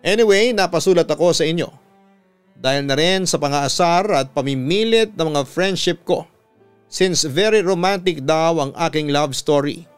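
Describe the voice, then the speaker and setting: A Filipino man, a radio announcer, reading a listener's letter dramatically with drawn-out emphasis on key phrases over background music. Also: fairly high pitch, clean tone, dry recording. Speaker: radio announcer and story reader. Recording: music bed behind the voice.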